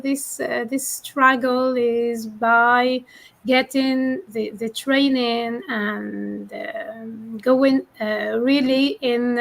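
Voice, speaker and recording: A woman from Algeria speaking as a panel guest, sharing a personal account haltingly and searching for words. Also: fairly high pitch, gravelly, fairly bright tone.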